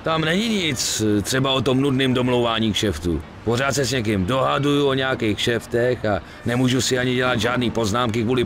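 A man's voice: lowish voice, noisy background. A man voicing a weary, streetwise middle-aged gangster in a Czech video game dub. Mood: weary, grumbling. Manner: colloquial, grumbling, swearing.

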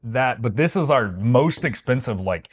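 A man's voice: mid-range voice, lively intonation, unhurried, husky. Playful, wry man co-hosting a travel vlog. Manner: playful, wry, mock-grand emphasis. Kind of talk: casual opinionated review chat.

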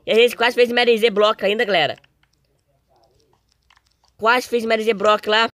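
A young man with a Brazilian accent and a slightly hoarse voice, doing casual, slangy gameplay commentary as a video host. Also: high-pitched, fairly even intonation, moderate pace, pushed and bright, dry recording. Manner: informal, slangy.

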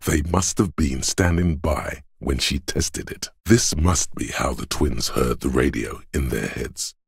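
Gravelly, extremely deep voice of logic. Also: male voice, unhurried, dry recording, clean studio sound, full character voice. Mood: analytical, composed. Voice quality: gravelly, husky bass. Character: cool-headed, analytical inner voice of logic, male. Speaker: voice actor. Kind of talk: scripted game narration as an inner voice.

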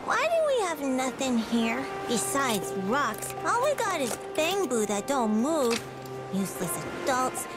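Bored anime girl, high swooping voice, sharp-tongued, grumbling, loud music underneath. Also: sing-song, measured pace. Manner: dismissive, grumbling.

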